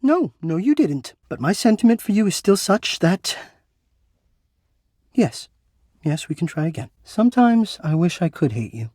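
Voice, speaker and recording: A man's voice: sits high, big pitch swings, measured pace, a little mellow, dry recording, clean studio sound, full character voice. A man voicing a proud, wounded, dramatic male household climate spirit in a video game, with formal, florid, old-fashioned diction. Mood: guarded, wistful. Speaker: voice actor. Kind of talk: video game character acting.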